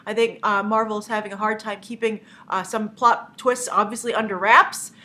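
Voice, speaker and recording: A woman's voice: mid-range voice, steady tone, normal speed, sharp tone. A young woman with a cold and a stuffy nose, hosting a casual movie news show, chatty and opinionated, with lots of "uh" fillers.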